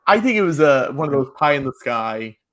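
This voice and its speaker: A man's voice: medium pitch, very animated, fairly quick, fairly bright tone. A man chatting casually as a podcast panelist. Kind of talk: casual chat.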